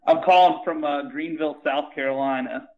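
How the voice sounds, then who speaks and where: A man's voice: fairly high pitch, fairly quick, phone-quality sound. A man calling in to a podcast, chatting casually with lots of fillers. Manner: relaxed, many fillers. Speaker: caller, podcast contestant. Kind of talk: casual chat.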